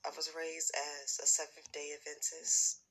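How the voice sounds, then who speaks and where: A woman introducing herself in an old vlog, speaking slowly and carefully with a stutter while lying in bed. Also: sits low, monotone, sharp tone, breathy edge, poor audio. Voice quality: stutter, slow careful speech. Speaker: vlogger. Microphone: phone camera.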